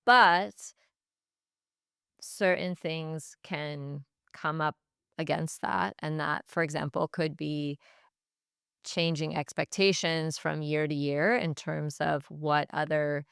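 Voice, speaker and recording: A woman giving thoughtful interview answers as a teacher, in a reflective, measured way, pausing to choose her words. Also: sits low, steady tone, gravelly, a bit bright, smooth, no room sound, clean studio sound. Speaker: Spanish teacher, podcast guest.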